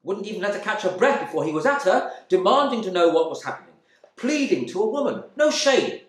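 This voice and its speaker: In an echoey room, a male actor plays a stuffy, indignant husband home from the war delivers a dramatic monologue. His is full of pompous, narrow-minded affront, and his pitch swings widely between muttering and outburst. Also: sing-song, fairly quick, fairly bright tone. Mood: wounded fury. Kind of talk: dramatic monologue reading from a novel.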